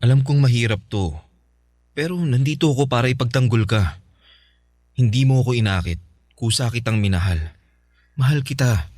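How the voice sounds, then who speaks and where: An actor playing an earnest, devoted young suitor in a Filipino radio drama, speaking insistently. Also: male voice, medium pitch, moderate pace, long pauses, darkish tone, no echo, clean studio sound, natural voice.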